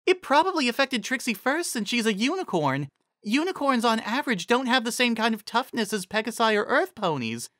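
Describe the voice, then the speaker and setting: A performer voicing an excitable, anxious young female pony in a dramatic fantasy story reading. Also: medium pitch, fairly quick, no echo, studio quality, voice pushed up. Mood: explanatory. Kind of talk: dramatic fan fiction reading.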